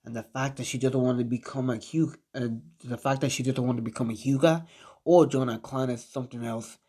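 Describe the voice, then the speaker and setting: A narrator voicing a stern, proud teenage boy ninja who speaks with low disapproval in a fan-made story. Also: fairly even intonation, a little fast, dark tone, natural voice. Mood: disapproving.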